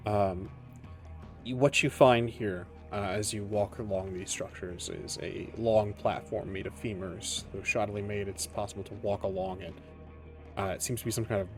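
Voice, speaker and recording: A man acting as game master, narrating a tabletop roleplaying game in a measured, descriptive way with pauses. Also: on the low side. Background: music under the voice.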